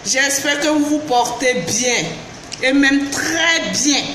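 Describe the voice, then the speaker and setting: A woman with an Ivorian French accent, down with the flu, chatting on a live stream, greeting viewers by name and breaking into shouts. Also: high-pitched, slow pace. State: has the flu. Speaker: beauty vlogger live-streaming. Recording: echoey room with background noise.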